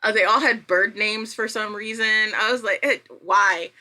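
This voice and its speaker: A woman with a bright, forward voice at an ordinary pitch, chatting as a guest on a podcast. She tells anecdotes in an animated, self-deprecating way, often quoting what she was thinking. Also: moderate pace. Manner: animated, self-deprecating, quotes own thoughts aloud. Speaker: podcast guest, writer and creator. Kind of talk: casual chat, anecdotal storytelling.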